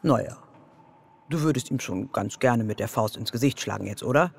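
An actress playing a mocking, goading inner voice of fear in a radio drama, husky and teasing. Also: female voice, extremely low pitch, normal speed, full character voice. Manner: teasing, swooping delivery.

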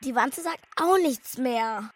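A woman's voice: sits high, animated, slow, exaggerated voice. A female performer in a children's radio play playing an anxious young sidekick in a detective gang. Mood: matter-of-fact.

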